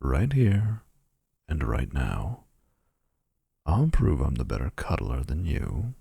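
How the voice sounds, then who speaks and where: A man playing a gravelly, smug vampire flirt. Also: rumbling low, huge pitch swings, slow, dark tone, no room sound, studio quality, heavily acted. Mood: scornful.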